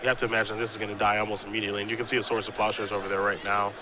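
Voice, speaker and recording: A man calling live game play-by-play, steady when describing plays and easygoing in banter with his co-host. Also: fairly low pitch, racing, a crowd in the background. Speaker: play-by-play commentator. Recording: noisy live event audio.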